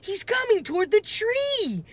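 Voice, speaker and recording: A woman's voice: very high voice, very animated, a little slow, pitched above the performer's own voice, heavily acted. A woman voicing a worried, bossy little girl who calls out urgently in a storybook read-aloud. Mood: frightened, urgent. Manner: urgent calling out.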